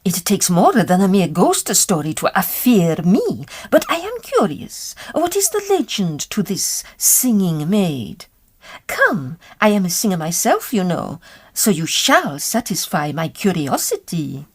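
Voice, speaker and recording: Husky, imperious Victorian diva. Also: female voice, lowish voice, huge pitch swings, a little slow, exaggerated voice. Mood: curious, insistent. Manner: imperious, insistent, well-spoken. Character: bold, curious Victorian lady opera singer. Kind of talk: period audio drama acting.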